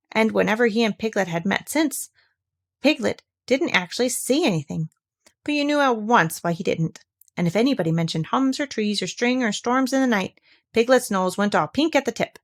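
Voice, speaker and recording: A woman's voice: medium pitch, dry recording, clean studio sound. A woman reading a children's storybook aloud as scripted narration. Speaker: storybook reader.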